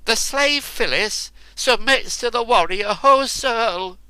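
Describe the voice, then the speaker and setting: A man voicing a proud, furious young woman captive in a storybook reading, pitched high and shrill. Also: animated, slow pace, pushed and bright, smooth, no room sound, heavily acted, rough recording. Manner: shrill and shrieking.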